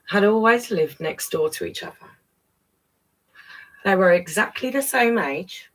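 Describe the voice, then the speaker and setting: Female children's storyteller, playful and chatty, animated intonation with some creak, recorded at a slight distance. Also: mid-range voice. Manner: playful, addresses listeners with questions and tag questions. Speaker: storyteller reading a picture book to young children. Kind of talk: read-aloud story time with chatty asides.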